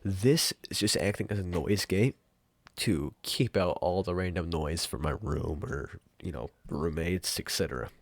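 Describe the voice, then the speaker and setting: A young man hosting a casual software tutorial in an informal, rambling way. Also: sits low, unhurried, no echo. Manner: informal, rambling, mild swearing. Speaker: tutorial host. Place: room at home.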